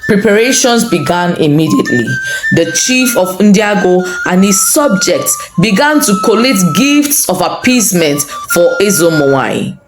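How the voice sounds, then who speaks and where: Slow, expressive Nigerian woman storyteller. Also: medium pitch. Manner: measured, expressive. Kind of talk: dramatic scripted folktale narration. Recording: roomy echo under light music. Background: music.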